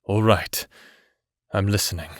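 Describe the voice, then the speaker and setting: A man's voice: on the low side, unhurried, no echo, clean studio sound, natural voice. A man playing an earnest, principled prisoner in a fantasy audio drama, speaking in formal, careful words. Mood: urgent, wary. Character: earnest, principled male prisoner, cautious and determined. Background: music.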